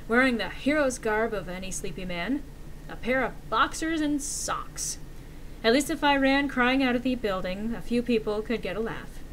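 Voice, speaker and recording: A woman's voice: medium pitch, close to an everyday voice. Woman reading as a frightened man, noisy recording. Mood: nervous resolve. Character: frightened young man recounting a horror encounter. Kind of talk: dramatic horror story reading.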